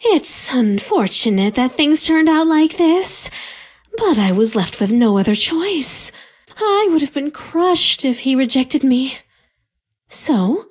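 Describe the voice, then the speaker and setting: A high-pitched, unhurried young woman in a video game cutscene. She is lovesick and obsessive, confessing in a dramatic monologue that swings widely in pitch. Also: darkish tone, full character voice. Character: lovesick, obsessive young woman who kidnaps her crush.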